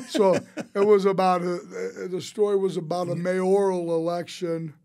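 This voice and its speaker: A man tells casual, rambling anecdotes as a podcast guest, quoting people in an animated way. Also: high-pitched, a little slow, vocal fry. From St. Louis, United States. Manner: rambling, animated storyteller who quotes others. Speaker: interview guest, restaurateur. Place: small podcast studio. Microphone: close studio mic on a boom arm.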